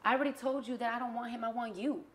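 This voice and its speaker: A woman's voice: on the high side, racing, close to an everyday voice. A woman playing a flirty, scheming woman with a coy, teasing way of talking, in a comedy skit. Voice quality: coy, relaxed, teasing delivery. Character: flirty, scheming woman who stirs up trouble.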